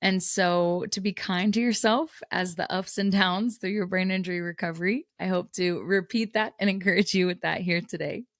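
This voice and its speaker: A woman's voice: lowish voice, steady tone, normal speed, hardly any pauses, no room sound, studio quality. A woman podcast host, a little scratchy and tired from a cold, talking candidly and casually with frequent laughs. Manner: candid, chatty, laughs often.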